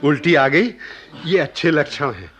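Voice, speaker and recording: A calm doctor in a radio drama, offering reassurance. His voice is slightly high and rises and falls freely. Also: a little slow. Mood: reassuring. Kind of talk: radio play acting.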